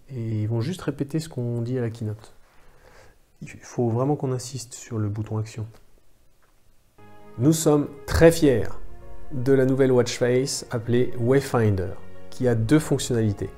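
A man in his thirties, seated on a sofa at home, doing a satirical keynote pitch with muttered asides. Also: warm tone. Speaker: channel host. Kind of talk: satirical mock product keynote. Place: living room, on a sofa.

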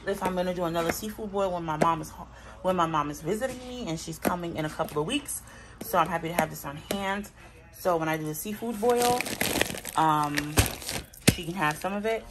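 A woman's voice: fairly low pitch, moderate pace, music in the background, low-quality recording. A woman in her thirties chatting casually as she shows off her groceries at home, candid and unfiltered. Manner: chatty, candid, talks as she handles items off camera. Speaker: vlogger showing a grocery haul. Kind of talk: casual chat. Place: home, indoors. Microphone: phone camera held by the speaker.

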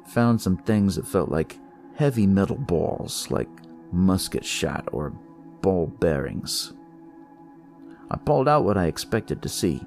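A man's voice: low-pitched, creaky, a little mellow. A man narrating a first-person detective tale as a scripted story.

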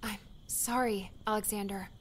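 Anxious young modern woman for an audiobook, played by an actress: mid-pitched, soft, hesitant, sincere. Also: fairly even intonation, normal speed, a little hiss or hum, natural voice. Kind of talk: audiobook character dialogue.

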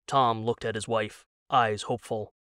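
A man narrating a scripted story as a dramatic audiobook, with deliberate, suspenseful delivery. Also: lowish voice, steady tone, a bit bright, clear, resonant tone, no room sound, studio quality.

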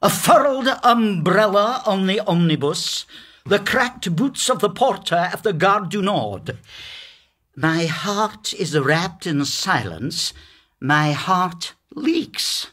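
A man's voice: sits high, sing-song, slow, a bit bright, exaggerated voice. An actor playing a stern, sarcastic middle-aged father and businessman in a formal period radio drama. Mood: scornful.

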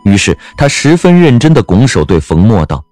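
A man narrates a story with wry expression. His voice is fairly low and lively in pitch, with soft music underneath. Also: moderate pace.

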